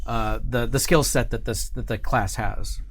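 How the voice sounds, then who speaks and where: A man's voice: mid-range voice, steady tone. A middle-aged man hosting a casual interview, often restarting as he searches for his words. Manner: halting, searching for words with repeated starts. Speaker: show host. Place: small curtained studio, indoors.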